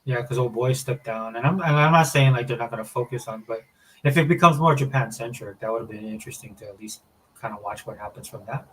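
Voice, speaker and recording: A man's voice: mid-range voice, fast, sharp tone, slight background noise, poor audio. A man hosting a casual podcast chat, rambling with many fillers and restarts. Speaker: podcast host.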